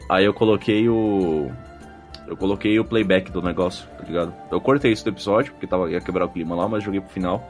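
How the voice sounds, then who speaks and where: Low-voiced Brazilian man, podcast banter. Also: fast talker. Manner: informal, jokey, slangy. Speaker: podcast host. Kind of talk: casual chat, reading listener comments. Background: background music.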